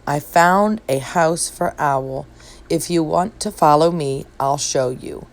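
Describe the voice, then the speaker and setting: Gloomy old storybook donkey, male, played by a woman, low-pitched, plodding, glum, resigned. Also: slow pace. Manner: glum, plodding, matter-of-fact. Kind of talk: storybook read-aloud.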